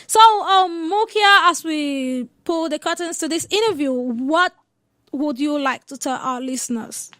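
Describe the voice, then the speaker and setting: A woman's voice: high voice, measured pace, a bit bright, no room sound, clean studio sound. A woman hosting a radio interview, chatty and a little hesitant as she addresses her listeners. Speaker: radio host.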